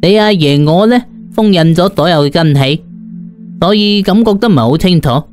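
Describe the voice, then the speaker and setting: A man's voice: high-pitched, normal speed, darkish tone, soft background music, close to an everyday voice. A man voicing an arrogant, scornful ancient immortal in a storybook, boastful and impatient. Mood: puzzled, serious. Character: arrogant ancient immortal sorcerer calling himself grandpa, scornful. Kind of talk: Cantonese audiobook character voice.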